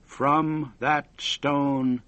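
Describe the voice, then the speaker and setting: A man voicing the solemn spirit of a long-dead inventor, giving deliberate, partly hushed instructions in a story. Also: on the high side, little pitch movement, dry recording, dull audio.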